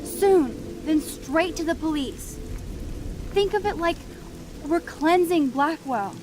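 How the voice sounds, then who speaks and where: A woman's voice: very high voice, lively intonation, takes it slowly, heavily acted. A woman playing an earnest, determined teenage schoolgirl coaxing a friend in a video game drama. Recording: clearly noisy, rain pattering behind.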